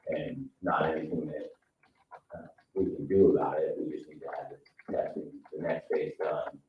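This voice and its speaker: A man's voice: rumbling low, fairly even intonation, normal speed. An American man giving informal explanations as an adviser at a public meeting. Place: indoor town meeting room. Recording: roomy, distant mic, dull, faint hiss.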